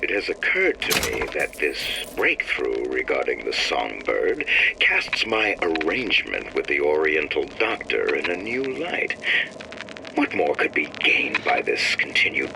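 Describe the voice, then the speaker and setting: A man's voice: very deep voice, huge pitch swings, a little slow, vocal fry, full character voice. An actor playing a scheming, pompous businessman dictating a florid audio diary in a video game, on a noisy old-fashioned recording. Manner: pompous, florid, self-important.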